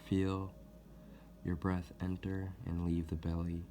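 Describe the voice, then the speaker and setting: A man leading a guided meditation as host, speaking gently and deliberately with long pauses. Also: low-pitched, completely flat delivery, a little hiss or hum. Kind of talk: guided meditation, soothing instruction.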